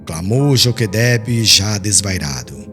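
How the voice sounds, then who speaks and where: A man's voice: fairly low pitch, expressive, slow. A man reading a literary audiobook in a solemn, carefully phrased, dramatic way. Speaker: audiobook narrator. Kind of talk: dramatic scripted narration. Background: soft background music.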